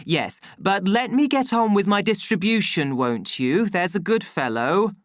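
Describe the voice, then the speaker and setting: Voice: mid-range voice, big pitch swings, slow pace, a bit bright, dry recording, clean studio sound, voice pushed up. A reader playing a fussy young male official in an audiobook, officious and bossy yet timidly polite. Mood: nervous coaxing. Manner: clipped, officious commands and coaxing pleas.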